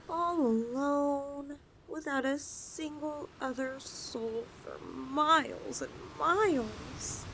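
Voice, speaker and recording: A woman's voice: high voice, slight background noise. A woman playing a possessive, teasing snake girl in an ASMR audio roleplay, with a coy, cooing delivery. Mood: teasing, menacing. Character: possessive, teasing young yandere lamia girl, half snake.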